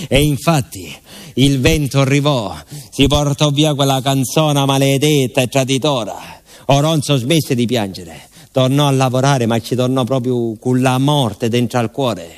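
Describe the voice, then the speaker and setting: A man acts out a simple southern Italian village postman in a radio drama. He has a medium-pitched voice and a folksy, confiding way of telling things, full of hesitations and repeated words, naive and wistful. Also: close to an everyday voice. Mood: earnest, mournful. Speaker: actor in a monologue. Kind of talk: theatrical radio monologue in colloquial storytelling.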